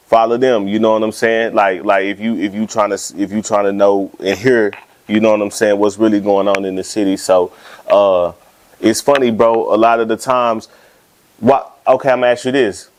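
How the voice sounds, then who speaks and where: A man's voice: fairly low pitch, fairly even intonation, normal speed. A man hosting a casual interview, talking in an easygoing way with frequent restarts. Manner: easygoing, stammering restarts, "you know what I'm saying". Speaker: podcast host.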